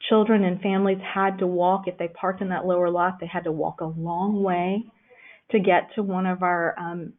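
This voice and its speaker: A woman giving a conversational library lecture, pausing often with "um". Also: on the low side, level tone. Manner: conversational, frequent "um" fillers. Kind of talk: recorded lecture with slides. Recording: tinny phone-like recording.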